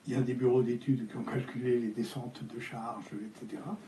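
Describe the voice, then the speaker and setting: Older male interviewee, Spanish native, hesitant delivery, very dark timbre, roomy echo. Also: medium pitch, steady tone, slight background noise. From Algeria. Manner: hesitant, halting with many fillers and restarts. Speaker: architect guest.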